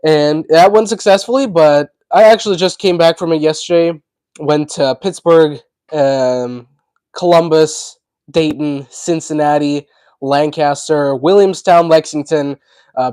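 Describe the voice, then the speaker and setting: Congested, hoarse man hosting a livestream. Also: fairly high pitch, darkish tone, no room sound. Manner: chatty and rambling. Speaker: livestream host. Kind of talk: casual livestream chat.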